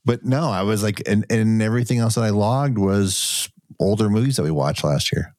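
Congested deep-voiced man podcasting. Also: moderate pace, a little mellow, dry recording, studio quality. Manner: loose and profane, laughs often. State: congested, coughing. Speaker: podcast co-host. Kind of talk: casual chat.

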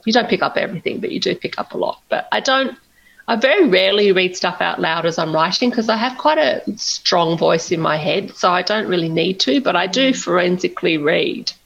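Gravelly, chatty woman. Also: on the low side, expressive, a little fast, fairly bright tone, lo-fi sound. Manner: chatty, self-deprecating, laughs often. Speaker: novelist guest. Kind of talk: candid conversational interview.

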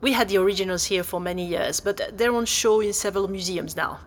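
An actress playing a helpful, slightly flustered exhibition guide, speaking politely and hesitantly in naturalistic audio drama dialogue. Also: female voice, medium pitch, brisk, lightly acted. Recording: long hall-like reverb.

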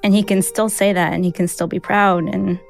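A woman's voice: medium pitch, flat delivery, brisk, gravelly, soft background music. Candid woman telling a personal story. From United States. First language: Mandarin. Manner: reflective, candid, with soft laughs. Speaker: interviewee, newsletter writer.

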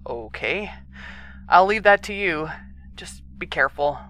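A calm, supportive woman in an audio drama. Her voice is low and measured, with an understated delivery. There is faint ambience behind her. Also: a little hiss or hum. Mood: quietly supportive.

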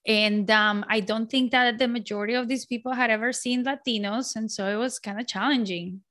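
A woman's voice: fairly high pitch, fairly even intonation, fairly quick, a bit bright. A woman from Colombia in her forties, a guest talking in an outgoing, chatty way with many fillers and restarts. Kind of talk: casual interview chat.

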